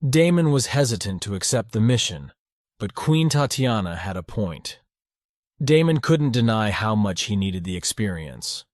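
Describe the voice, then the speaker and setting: A man's voice: lowish voice, very animated, moderate pace, a little mellow, no room sound, studio quality. A man reading scripted fiction narration for an audiobook.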